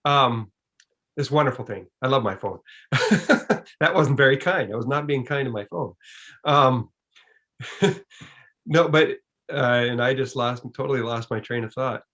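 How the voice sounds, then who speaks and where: A man's voice: medium pitch, measured pace, pauses often, a bit bright. Man explaining emphatically in a folksy way. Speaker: guest speaker. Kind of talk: online presentation and Q and A. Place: online video call.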